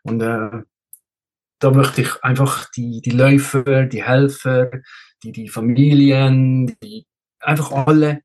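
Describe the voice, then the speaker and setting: A man from Switzerland speaks as a guest in a relaxed podcast conversation. He has a middle-pitched voice and tends to repeat words as he feels his way through a sentence, now and then slipping in English. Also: takes it slowly, low-quality recording. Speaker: race director, interview guest. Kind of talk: casual podcast interview.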